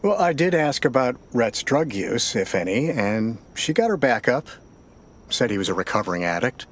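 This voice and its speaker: An actor playing a steady, probing American sheriff's detective in an audio drama, questioning in a measured, matter-of-fact way. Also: male voice, mid-range voice. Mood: polite, businesslike.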